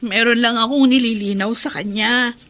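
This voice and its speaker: A woman's voice: a little high, steady tone, normal speed, fairly bright tone, lightly acted. A woman with a Filipino accent playing a self-sacrificing, weary middle-aged daughter and mother in a radio drama, earnest and emotional. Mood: reassuring, subdued.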